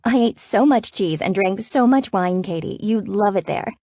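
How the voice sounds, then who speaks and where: A woman voicing an excitable, blunt sister in an audiobook, bubbly and exclamatory, easily shocked. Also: mid-range voice, normal speed, no room sound, clean studio sound, voice pushed up, close to an everyday voice. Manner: shrieking, exclamatory.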